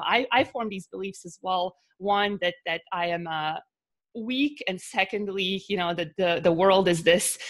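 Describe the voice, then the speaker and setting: A woman from Bosnia with a Bosnian accent, a podcast guest talking through her ideas with many fillers and restarts. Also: medium pitch. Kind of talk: casual interview talk.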